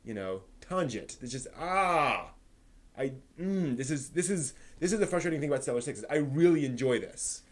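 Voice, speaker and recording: A man hosting a live stream, giving a manga review in an articulate, expressive way. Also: medium pitch, moderate pace. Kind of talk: manga review on a live stream, part prepared, part casual chat.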